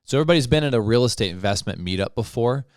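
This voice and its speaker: Male podcast co-host, mid pitch, smooth clear voice, dry close mic, relaxed chatty delivery. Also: very fast, no room sound. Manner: conversational, laughs easily, slips into quoted voices. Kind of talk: casual chat.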